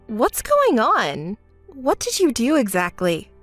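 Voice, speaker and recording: A woman voicing a calm, fed-up young woman who answers in a level, dry, scolding way in a dramatized story. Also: fairly high pitch, slow pace, no echo, faint music behind, pitched below the performer's own voice, full character voice.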